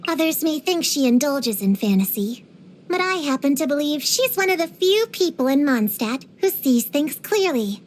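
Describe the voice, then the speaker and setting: Precocious little kid for a video game, high pitched, dramatic and earnest, some noise behind it. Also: female voice, very animated, normal speed. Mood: solemn, confiding. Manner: earnest, theatrical, uses grown-up vocabulary. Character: precocious, feisty, dramatic little girl witch hunter. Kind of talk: scripted video game character voice lines.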